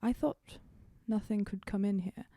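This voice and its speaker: A woman's voice: medium pitch, fairly even intonation, measured pace, mellow, no echo, studio quality. A woman playing a tabletop roleplaying game, talking informally and thinking aloud as she improvises her character's moves and lines.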